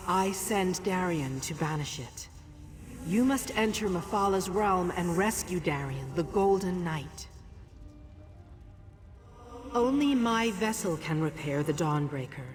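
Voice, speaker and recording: A woman voicing an imperious, proud goddess of light in a fantasy video game, speaking in a grand, commanding, declamatory way. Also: on the low side. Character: imperious female deity of light, proud and wrathful.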